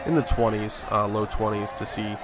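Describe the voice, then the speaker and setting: A man's voice: fairly low pitch, flat delivery, moderate pace, loud music behind the voice. A man giving casual, boastful gameplay commentary, narrating each move as a gaming video host.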